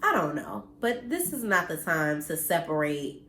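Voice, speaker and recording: A woman's voice: low-pitched, sing-song, normal speed, fairly bright tone, roomy sound, a little hiss or hum. Congested, blunt woman in her thirties. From United States. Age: 30s. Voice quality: slightly nasal, congested. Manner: earnest and blunt, mildly preachy, occasional cursing. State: congested from allergies. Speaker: vlogger. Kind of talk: casual direct-to-camera talk. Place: her home, indoors.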